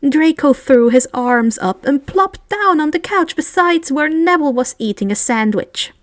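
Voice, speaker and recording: A woman's voice: high voice, expressive, moderate pace, dry recording. A woman reading fan fiction aloud as an amateur narrator, in scripted storytelling style.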